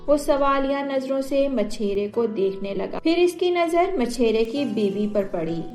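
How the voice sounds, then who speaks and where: A woman's voice: sits high, expressive, a little slow. A woman storyteller reading a scripted moral tale as narration. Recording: roomy echo, music underneath. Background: soft music.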